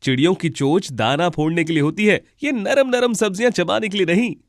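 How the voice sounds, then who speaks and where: A man's voice: high voice, fairly quick, no room sound, studio quality. A man voicing a scornful, fault-finding crow uncle in a children's cartoon, scoffing and exclaiming. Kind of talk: Hindi animated children's story dialogue.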